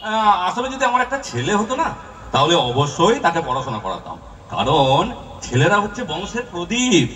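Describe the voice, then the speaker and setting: A man's voice: fairly high pitch, wildly swooping pitch, a little fast, a bit bright, recorded from a distance. A man voicing a poor, nagging village father in an amateur puppet show, scolding and stammering when cornered. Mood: scolding, exasperated.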